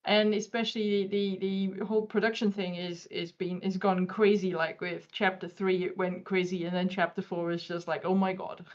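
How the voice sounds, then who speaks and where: A woman's voice: mid-range voice, steady tone, moderate pace, few pauses. A woman guest voice actor chatting casually on a livestream over an online voice call. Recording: dull lo-fi voice call.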